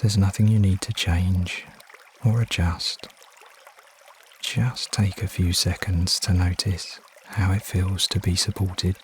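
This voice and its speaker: Male meditation guide, deep register, soothing and unhurried with frequent pauses, trickling water behind. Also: a little hiss or hum. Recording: steady water ambience behind voice. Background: trickling stream water sounds.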